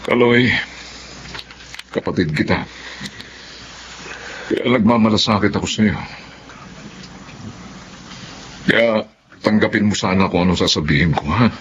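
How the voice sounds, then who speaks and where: A man's voice: on the low side, moderate pace, pauses often, fairly bright tone, natural voice. A man playing a stern, protective older brother in a Filipino radio drama, blunt and admonishing. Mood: concerned. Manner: emphatic, admonishing. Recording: dull lo-fi audio, faint noise.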